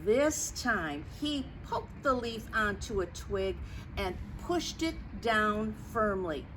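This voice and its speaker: A woman reading a picture book aloud for young children, expressive and explanatory, talking directly to her listeners. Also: mid-range voice, slow pace, noisy background. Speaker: storytime reader.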